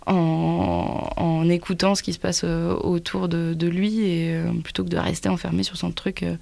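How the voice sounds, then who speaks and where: Woman student chatting in interview, lowish creaky voice, searching for words, very dry sound. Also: level tone, moderate pace, a little mellow, no echo. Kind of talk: casual reflective interview talk.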